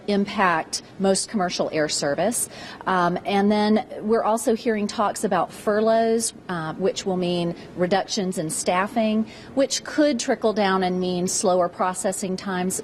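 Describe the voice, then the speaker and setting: A woman speaking as a public relations spokesperson, giving measured, careful answers in a live news interview. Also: medium pitch. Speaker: airport marketing and PR director. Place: airport, live on location. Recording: faint background noise.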